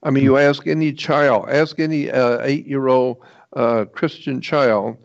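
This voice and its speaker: A man giving an informal explanatory lecture in a halting, rambling way, repeating points for emphasis. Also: mid-range voice, steady tone, gravelly. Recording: dull, lo-fi muffled audio.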